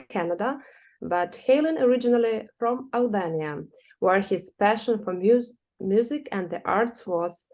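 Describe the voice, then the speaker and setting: A woman from Ukraine, hosting her own interview channel and speaking in halting sentences with many fillers. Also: medium pitch, a little mellow. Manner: halting, filler-heavy speech. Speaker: channel host. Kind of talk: interview host.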